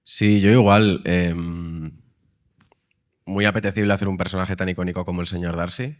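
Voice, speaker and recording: An actor answering on a panel in a reflective way, pausing with hums. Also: male voice, deep voice, little pitch movement.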